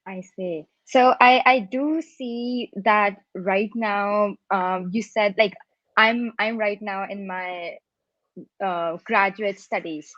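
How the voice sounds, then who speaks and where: A woman's voice: medium pitch, steady tone, takes it slowly, no echo. Young woman moderating over a dry call. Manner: friendly, scripted introductions, uses "um" and "like". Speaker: host, panel moderator. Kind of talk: moderating a panel interview. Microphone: video call. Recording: dry muffled video call audio.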